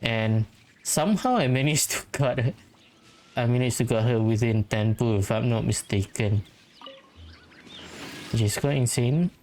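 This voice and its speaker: A woman's voice: rumbling low, measured pace, long pauses, darkish tone, slight background noise. A woman giving casual gameplay commentary as the host of a gaming video. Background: faint game music.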